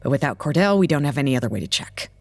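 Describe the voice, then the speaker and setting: A woman's voice: extremely low pitch, expressive, rapid-fire. A woman playing a blunt, weary leader of a rebel research staff in an audio drama, speaking frankly and dryly with some swearing. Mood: practical, calm. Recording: echoey room, clear background noise.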